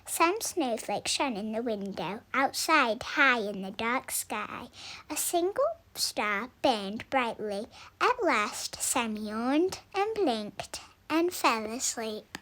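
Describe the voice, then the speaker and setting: A young woman reading a children's story aloud in an expressive, deliberate way, drawing out words for emphasis. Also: fairly high pitch. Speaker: storybook reader.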